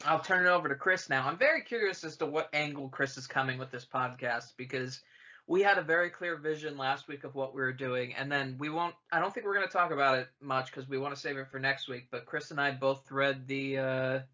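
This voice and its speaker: A man's voice: mid-range voice, fairly quick, bright, forceful tone, highs cut off. A man about thirty hosting a podcast, laughing easily and steering the conversation between his co-hosts. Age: 30s. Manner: laughing, moderating, steering the talk. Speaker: podcast host. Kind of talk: casual chat.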